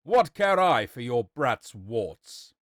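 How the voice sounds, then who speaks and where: Storybook wizard voiced by a man: callous, hot-tempered, exclamatory, very animated delivery, dry close sound. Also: medium pitch, dry recording. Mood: scornful, angry. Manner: roaring, exclamatory, drawn-out scornful delivery.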